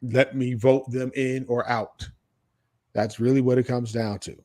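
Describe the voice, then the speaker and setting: A man's voice: medium pitch, level tone, measured pace, a little mellow. An American man hosting a casual podcast chat, speaking in a blunt, salty-tongued way. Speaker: podcast host.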